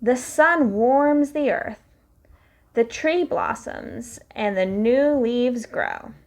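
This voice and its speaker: A young woman reading a picture book aloud to children in a slow, sing-song storytelling way. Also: fairly high pitch, a little mellow.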